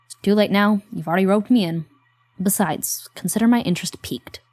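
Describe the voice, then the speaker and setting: An actress playing a wry, reluctant but loyal helper in a horror audio drama, talking in a dry, conversational way. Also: female voice, medium pitch, a little fast, a little mellow, dry recording, natural voice. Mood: determined.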